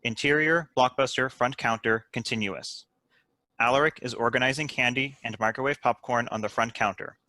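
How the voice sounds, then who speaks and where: A man's voice: medium pitch, moderate pace, pushed and bright, dry recording. A man reading out stage directions as scripted narration for a screenplay table read.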